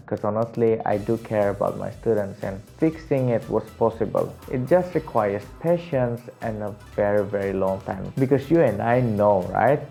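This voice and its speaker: Raspy, gravelly-voiced male vocal coach. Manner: animated, jumps to high excited pitch on emphasis, laughs often. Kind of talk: casual teaching monologue.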